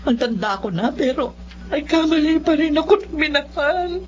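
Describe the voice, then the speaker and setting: A woman's voice: high voice, expressive, normal speed. An actress playing a remorseful, hot-tempered middle-aged mother in a Filipino radio drama, with a Filipino accent. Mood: ashamed, pleading. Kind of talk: Filipino radio drama acting and first-person narration. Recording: dull lo-fi radio sound, music underneath.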